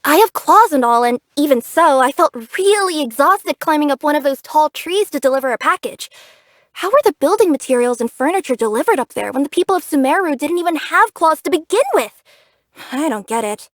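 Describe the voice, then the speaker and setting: A woman's voice: high-pitched, animated, brisk, dry recording, studio quality. A woman voicing a cheerful, curious young female cat yokai who works as a package courier in a video game, earnest and bubbly in manner. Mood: curious, chatty, then puzzled, musing. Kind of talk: English video game character voice acting.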